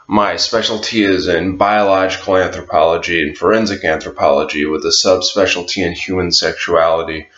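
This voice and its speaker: Deep-voiced young man, echoey bedroom webcam. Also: fairly bright tone. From Atlanta, Georgia. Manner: earnest, halting with frequent fillers. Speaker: online English tutor. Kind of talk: self-introduction to camera. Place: small bedroom, indoors. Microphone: webcam at desk. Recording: echoey room, dull lo-fi webcam audio.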